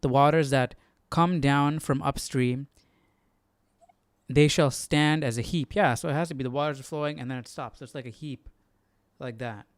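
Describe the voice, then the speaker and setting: A man's voice: medium pitch, fairly even intonation, normal speed, no echo. A man hosting a Bible study, explaining casually and posing questions as he goes. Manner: explanatory, asks himself questions. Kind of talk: casual live study commentary.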